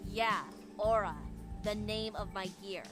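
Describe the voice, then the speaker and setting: A woman's voice: medium pitch, steady tone, unhurried, fairly bright tone, lightly acted. A woman voicing a serious, proud young female pilot in a visual novel game, matter-of-fact and a little defensive. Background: game music.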